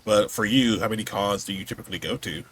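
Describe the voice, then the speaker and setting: A man's voice: fairly low pitch, quick pace, slight background noise. A man hosting a podcast, asking questions in a casual, conversational interview style. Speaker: podcast host.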